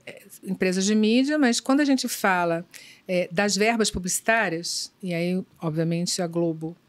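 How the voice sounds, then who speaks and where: A middle-aged woman executive answering interview questions in a measured, thoughtful way, pausing often with fillers. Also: on the low side. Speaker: business executive guest. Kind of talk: conversational podcast interview.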